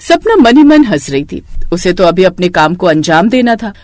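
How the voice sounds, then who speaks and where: A woman's voice: lowish voice, very animated, moderate pace, darkish tone, dry recording, clean studio sound. A woman narrating a scripted moral story as its storyteller.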